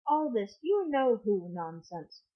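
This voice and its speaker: A woman voicing a gentle, whimsical old male wizard from a storybook, mild and courteous in manner. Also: on the high side, sing-song, takes it slowly. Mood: calm, reasoning. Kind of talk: amateur storybook character reading. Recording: muffled lo-fi audio.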